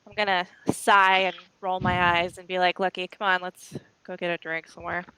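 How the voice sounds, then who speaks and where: A woman's voice: on the low side, little pitch movement, normal speed, pushed and bright, clean tone, no room sound, poor audio. A woman role-playing in an online tabletop game, casually narrating what her character says and does.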